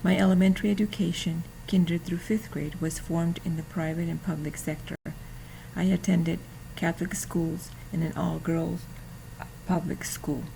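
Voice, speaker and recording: A woman's voice: on the low side, steady tone, creaky, mellow, slight background noise, low-quality recording. A woman, carefully reading a prepared class presentation. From Mexico. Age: 50s. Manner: careful, deliberate reading with some restarts. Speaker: student.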